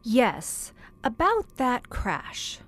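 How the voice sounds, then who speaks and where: A woman's voice: fairly high pitch, sing-song, very slow, heavily acted. An actress playing a curious, polite young woman writer, speaking with careful enunciation in an old-time radio horror drama. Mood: puzzled, probing.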